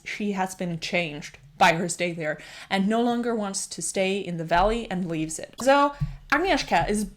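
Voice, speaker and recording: A woman with a Danish accent giving a casual spoken book review, enthusiastic and rambling, often restarting her sentences. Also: mid-range voice.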